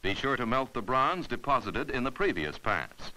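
A man narrating an old instructional film in a bright, forceful voice that rises and falls with lively pitch. He explains each step patiently and clearly, like a 1950s classroom reel. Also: normal speed, rough recording. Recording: 1950s educational film soundtrack.

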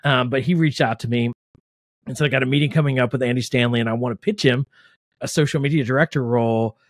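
A middle-pitched man in his forties hosts a casual podcast from a home studio. His voice is close and dry on a large studio mic. He talks in an easy, storytelling way. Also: dry recording. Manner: affirming, quick backchannels. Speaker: podcast host. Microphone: large studio mic with headphones.

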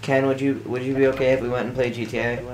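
A man's voice: mid-range voice, level tone, quick pace, a little mellow, a little hiss or hum. A young man with a Boston accent chatting casually and joking with friends while hosting a gameplay video. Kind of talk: casual gaming chat with friends.

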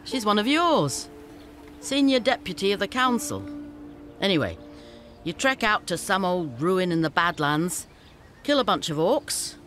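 An actress playing a shrewd female scavenger leader in a fantasy game, brisk and businesslike with a teasing edge. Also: medium pitch, very animated, a bit bright. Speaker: game character. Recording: game audio with faint music.

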